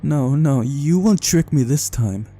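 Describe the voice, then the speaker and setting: A man's voice: on the high side, lively intonation, a little slow, mellow, faint music behind, pitched above the performer's own voice. A man voicing a paranoid, frightened young man in a visual novel game, agitated and defiant. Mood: panicked, defiant. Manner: agitated, mumbling.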